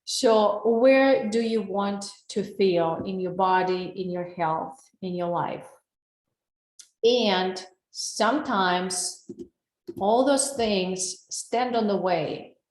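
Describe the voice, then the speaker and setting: A woman's voice: mid-range voice, slow, darkish tone, reverberant, low-quality recording. A woman from Russia with a Russian accent, giving an earnest, personal wellness webinar over a video call and asking her audience questions. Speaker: wellness coach. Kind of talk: live webinar presentation. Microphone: computer mic on a video call.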